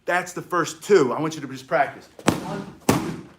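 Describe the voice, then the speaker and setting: A middle-aged man teaching stick self-defense in an energetic, chatty way, with short punchy phrases. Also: on the high side, moderate pace, very noisy. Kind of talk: casual instructional demonstration.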